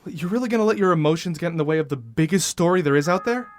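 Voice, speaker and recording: A man's voice: on the high side, lively intonation, racing, natural voice. An actor playing a brash, insistent male newspaper reporter who swears freely in an audio drama.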